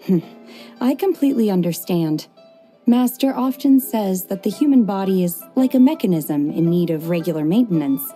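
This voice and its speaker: A woman voicing a calm, polite, aloof young woman warrior who speaks in a measured way in a game cutscene. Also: mid-range voice, faint music behind, lightly acted.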